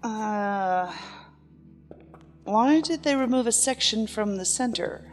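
A woman playing a poised, quick-witted federal agent in a science fiction audio drama. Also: a little high, lively intonation. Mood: inquisitive. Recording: long hall reverb, music underneath.

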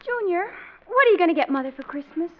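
An actress playing a bright, eager teenage daughter in a 1940s radio sitcom, earnest and girlish. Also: very high-pitched, animated, fast talker. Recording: dull, lo-fi vintage radio recording.

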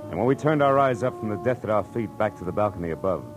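A man's voice: on the low side, animated, fast talker, music in the background, close to an everyday voice. A man acting a terse, wry American private detective in a 1940s radio drama. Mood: grim, measured. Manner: terse, dry, wisecracking. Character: hard-boiled, wry, commanding male private detective. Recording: noisy old radio recording.

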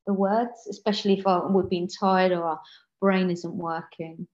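A woman's voice: lowish voice, steady tone, moderate pace, a little mellow. A woman, a guest author in a casual interview, talking earnestly and correcting herself often. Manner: earnest, many restarts and self-corrections. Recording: slightly dull lo-fi audio.

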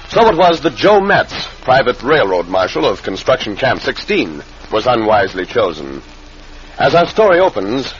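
Male radio drama narrator, mid pitch, animated storytelling, muffled vintage recording. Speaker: narrator, announcer. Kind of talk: old-time radio scripted dramatic narration.

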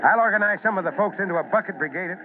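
A male actor plays a seasoned fire chief in an old radio drama. His voice sits high for a man, with folksy authority and patient explanation. The sound is thin and band-limited, with clear noise. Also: rapid-fire, close to an everyday voice. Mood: exasperated, brisk. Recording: noisy lo-fi vintage radio recording.

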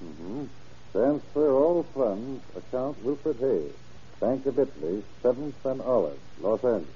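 An actor playing a steady, purposeful police officer in a vintage radio crime drama, matter-of-fact and methodical. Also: male voice, mid-range voice, a little slow, slight background noise, natural voice. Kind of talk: 1940s-50s radio crime drama acting. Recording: dull vintage radio recording.

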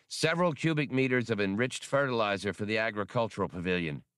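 A man's voice: lowish voice, quick pace, a bit bright, dry recording, clean studio sound, natural voice. A man voicing a jolly, cigar-smoking female alien freighter captain who talks merrily and offhandedly. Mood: merry. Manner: merry, offhand.

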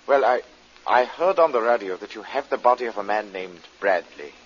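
An actor playing a suave, fussy spy villain in an old-time radio drama, speaking in a husky, pompous and precise manner. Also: male voice, on the low side, fairly quick. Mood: guarded, somber. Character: suave, fussy, menacing male spy feigning indignant mourner. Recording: dull vintage radio drama recording.

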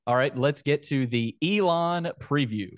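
A man's voice: a little high, lively intonation, unhurried, dry recording, studio quality. A man hosting a sports podcast in a casual, conversational way, often repeating phrases for emphasis.

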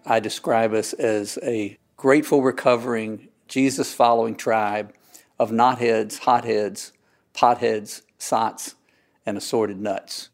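A man's voice: lowish voice, steady tone, takes it slowly. A man who is a pastor, speaking in a folksy, humorous way in an interview.

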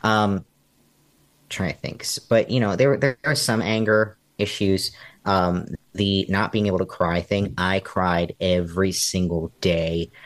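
Low-voiced young man, hesitant and reflective. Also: steady tone, unhurried, no echo. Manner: hesitant and reflective, many ums. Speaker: interview guest. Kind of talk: candid personal interview.

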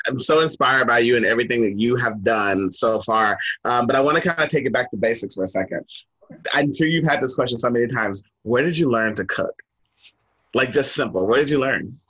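A man's voice: mid-range voice, moderate pace, pushed and bright, rough recording. A man co-hosting a podcast, asking questions casually and enthusiastically. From Texas. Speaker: podcast co-host. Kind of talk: casual interview chat.

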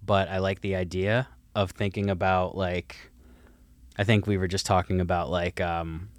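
A man's voice: sits low, level tone, normal speed, no echo. A young man co-hosting a podcast, rambling casually with many filler words while musing on speculative ideas. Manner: rambling, lots of "like" and "who knows". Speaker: podcast co-host. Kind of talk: casual chat.

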